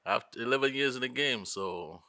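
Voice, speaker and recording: A man's voice: medium pitch, moderate pace, sharp tone, dry recording, clean studio sound. A man from Cameroon answering questions about his video work, hesitant and laughing often. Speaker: video director. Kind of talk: casual interview answers.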